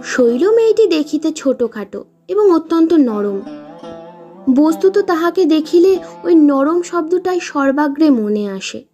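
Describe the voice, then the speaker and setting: A woman reading literary fiction aloud in a measured, expressive storytelling manner. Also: high voice, faint music behind. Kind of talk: scripted literary narration.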